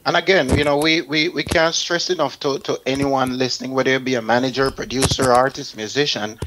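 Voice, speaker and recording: A man's voice: mid-range voice, fairly even intonation, fairly quick, background noise. A man hosting a talk show, giving listeners advice in an emphatic way, item by item.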